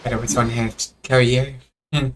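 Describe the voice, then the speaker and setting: A man's voice: medium pitch, flat delivery, moderate pace, a little hiss or hum. A man giving casual, offhand commentary as he plays a game on a live stream.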